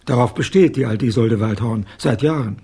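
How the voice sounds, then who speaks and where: An actor playing a blunt, confident old former private detective with a husky voice in a radio crime drama. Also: male voice, medium pitch, fairly quick, warm tone. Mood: confident, suspicious. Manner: blunt, assertive. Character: gruff, confident old male ex-private detective, gang member.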